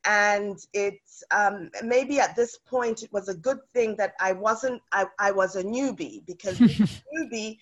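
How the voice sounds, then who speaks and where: A woman's voice: mid-range voice, a little slow, hardly any pauses, highs cut off. Woman from South Africa. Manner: chatty, frequent fillers and restarts. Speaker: guest real estate investor. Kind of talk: casual interview.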